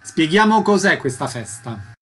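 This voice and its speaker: A man's voice: a little high, sing-song, measured pace, breathy edge, faint music behind. A man working as a radio interviewer, presenting and asking questions about arts events.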